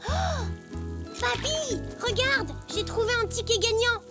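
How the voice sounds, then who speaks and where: An eager young zebra boy in a French children's cartoon. The voice is very high and childlike, with a cheerful temper. Prominent music runs underneath. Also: measured pace. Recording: music behind the voice.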